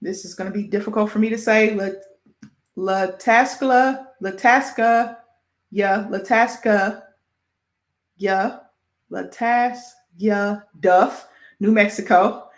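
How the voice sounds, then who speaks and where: Female sports host, Midwest American accent, mid-pitched, informal and self-deprecating, careful halting delivery on names, roomy echo. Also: steady tone. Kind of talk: casual sports show presenting, reading award lists.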